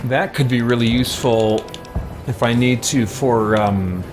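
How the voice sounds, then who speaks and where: A man's voice: mid-range voice, measured pace, over loud music. A man giving casual gameplay commentary, thinking aloud to himself with mild folksy exclamations. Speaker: let's play host, gamer.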